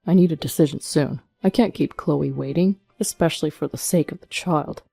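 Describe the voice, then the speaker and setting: A woman's voice: low voice, moderate pace, creaky, mellow, slightly rough, dry recording, faint music behind, heavily acted. A woman voicing an evasive, self-excusing husband in a narrated story, speaking in a pleading way.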